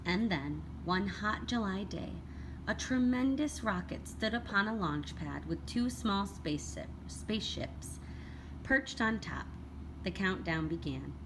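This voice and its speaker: A woman reading a children's picture book aloud as a teacher would, in an expressive, deliberate storytelling manner. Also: mid-range voice. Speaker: elementary school read-aloud teacher. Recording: clearly noisy background.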